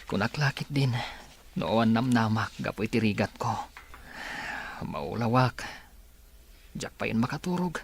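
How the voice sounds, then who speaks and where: A man's voice: fairly low pitch, animated, unhurried, gravelly, pauses often, slight background noise. A man playing a weary, sick young grandson. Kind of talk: radio drama acting.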